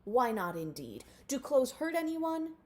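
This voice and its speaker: Elderly, regal male mole rat patriarch for a storybook woman performing, stately, slow, emphatic. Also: sits high, sing-song, voice pushed up. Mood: proclaiming. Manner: stately, declamatory. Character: wise, regal, heroic old grandfather mole rat.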